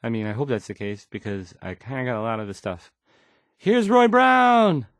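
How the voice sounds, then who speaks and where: A man's voice: medium pitch, sing-song, moderate pace, dry recording, natural voice. An actor playing an awkward, self-doubting young male radio DJ in a game, hesitantly rambling through song introductions. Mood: awkward, unsure.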